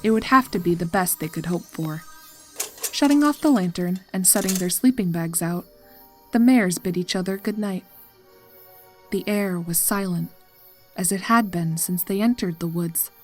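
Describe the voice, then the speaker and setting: A woman's voice: on the low side, normal speed, darkish tone, soft background music. A woman narrating scripted horror fiction as a storyteller.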